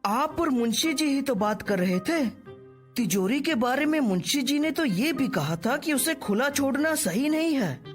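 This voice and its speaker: Female actor as plotting, greedy cartoon lad. High register, theatrical and whiny, very animated delivery. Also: measured pace, faint music behind. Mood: whiny, dismayed. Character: scheming, greedy young son in a cartoon fable. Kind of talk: animated children's story voice acting.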